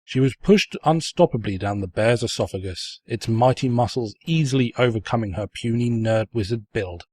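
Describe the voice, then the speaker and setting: A man's voice: medium pitch, normal speed, few pauses, darkish tone, no echo, close to an everyday voice. Man playing a snide, pompous storybook narrator, dry recording. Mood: mocking. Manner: pompous, sarcastic, florid storytelling delivery. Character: snide, mocking male storybook narrator who insults the heroine. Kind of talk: scripted comedic fan fiction narration.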